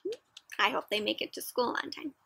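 A young woman doing an interactive read-aloud for children, speaking in an expressive, emphatic way. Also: a little high, fairly quick, gravelly, breathy edge, no room sound. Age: young adult. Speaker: children's storybook reader. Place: outdoors in a playground.

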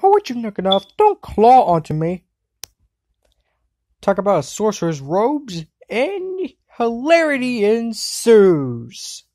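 A woman voice acting as a spirited, excitable anime girl for an amateur dub, exclamatory and theatrical. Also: mid-range voice, no room sound. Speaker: amateur voice actor for an anime fandub. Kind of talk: acted cartoon dub clips.

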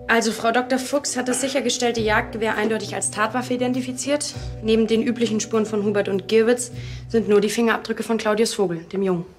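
An actress playing a helpful, candid, matter-of-fact police assistant in a radio drama. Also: female voice, a little high, steady tone, fast, faint music behind, close to an everyday voice.

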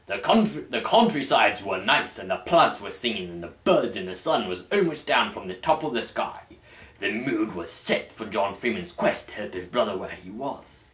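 A man's voice: fairly low pitch, a bit bright. A young man reading a story aloud as host, in a mock-formal, theatrical storyteller's manner, recorded indoors a little way from the mic. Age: young adult. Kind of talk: mock-dramatic scripted narration. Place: small indoor room at home. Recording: roomy camera sound.

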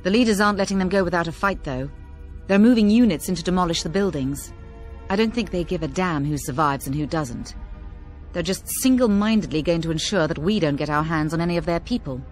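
An actress playing a brisk military officer giving a scripted mission briefing, firm and matter-of-fact. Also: female voice, lowish voice, darkish tone, faint music behind, close to an everyday voice.

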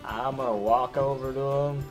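A man's voice: mid-range voice, fairly even intonation, normal speed. A young adult man chatting casually as a player in a tabletop game. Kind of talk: casual chat. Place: living room around a table. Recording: music clearly behind.

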